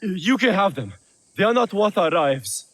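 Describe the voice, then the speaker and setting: An actor playing an excitable, frightened small-time schemer in a video game, blustering and agitated. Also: male voice, high voice, measured pace, exaggerated voice. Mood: scared. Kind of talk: video game cutscene acting.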